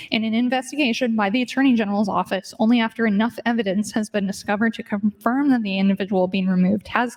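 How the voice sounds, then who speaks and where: A woman state legislator giving a speech in floor debate in a legislative chamber. She argues her point, moving between statute readings and casual asides. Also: fairly high pitch.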